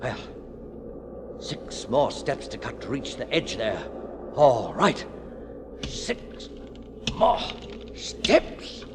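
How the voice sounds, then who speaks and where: A man's voice: mid-range voice, huge pitch swings, full character voice. An actor playing a weary, fatalistic man in his fifties, brooding aloud in a noisy 1940s radio drama. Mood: straining, urgent. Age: 50s. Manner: brooding, dramatic, haltingly musing. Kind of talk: 1940s radio drama acting, interior monologue. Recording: noisy, hissy 1940s radio broadcast recording.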